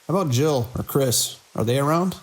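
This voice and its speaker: A man playing a weary, dedicated hospital director in an audio drama, speaking in a measured, grave way. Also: medium pitch, expressive, close to an everyday voice. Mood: indignant. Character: weary, dedicated male hospital director under mounting strain. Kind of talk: audio drama acting, recorded log entries and phone call.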